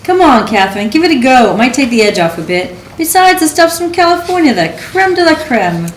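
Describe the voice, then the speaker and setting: A teasing, genial host, voiced by a woman, breezy and coaxing. Her pitch sits fairly high and swings widely. The room gives an echo, with faint noise behind. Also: sing-song, brisk.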